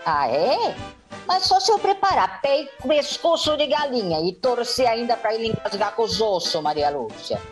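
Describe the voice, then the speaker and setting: A woman's voice: on the high side, very animated, normal speed, soft background music. A woman playing a defiant, spiteful, scheming young woman in a comic radio soap, theatrical and prone to muttering to herself.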